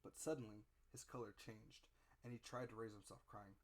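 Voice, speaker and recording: A man narrating a literary audiobook as a dramatic reading, theatrical, with deliberate phrasing in short units. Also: lowish voice, steady tone, crowd noise.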